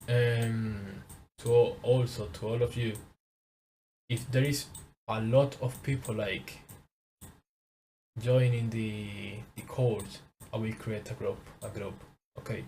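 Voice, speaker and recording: Young Venezuelan man, Spanish-accented English, low flat delivery, hesitant, faint noise. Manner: halting, repeats words, searches for English. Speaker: trading course teacher. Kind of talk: casual screen-share lesson.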